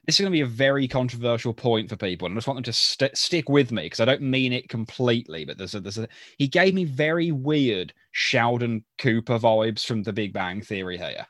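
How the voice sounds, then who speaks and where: A man's voice: mid-range voice, lively intonation, brisk, few pauses, fairly bright tone, dry recording, clean studio sound. A man co-hosting a podcast in casual chat, rambling and thinking aloud as he goes. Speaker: podcast co-host. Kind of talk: casual chat about a sitcom.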